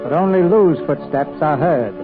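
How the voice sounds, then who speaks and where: An actor narrating a small-town radio drama in a scripted storytelling style. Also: male voice, fairly high pitch, normal speed, natural voice. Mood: solemn. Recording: tinny old radio, music behind.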